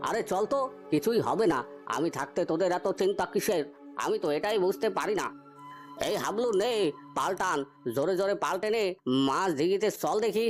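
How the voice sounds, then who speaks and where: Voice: mid-range voice, expressive, fairly quick, soft background music, lightly acted. A performer playing an easygoing, chatty old village fisherman in a cartoon story, reassuring and a little bossy. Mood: reassuring, hurrying.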